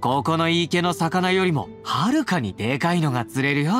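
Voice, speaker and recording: Breezy, animated young warrior. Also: male voice, on the high side, moderate pace. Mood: eager, fond. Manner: breezy, teasing, smooth-talking. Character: confident, playful young male warrior, affectionate companion. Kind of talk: Japanese anime-style game voice acting. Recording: game audio with background music.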